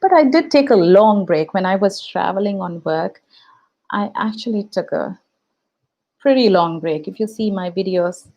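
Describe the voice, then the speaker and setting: A woman with an Indian English accent, Tamil her first language, talks casually to viewers as a YouTube host. Her mid-pitched voice has a gravelly fry at the ends of phrases. She muses aloud, full of fillers and restarts. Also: expressive, normal speed, a little mellow, muffled recording. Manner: reflective, chatty, frequent fillers and restarts. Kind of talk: casual live-stream chat.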